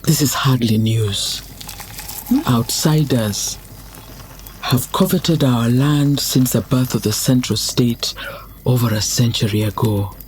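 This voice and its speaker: A woman playing a wise elderly village elder and guardian who speaks slowly and solemnly. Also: rumbling low, a little mellow, slight background noise. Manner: slow, solemn.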